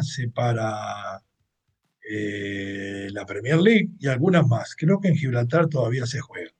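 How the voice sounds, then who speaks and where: A man's voice: a little high, a little mellow, slightly rough. A man hosting a sports news segment, running through the fixtures in a chatty way, with frequent fillers and asides. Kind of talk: spoken sports news rundown.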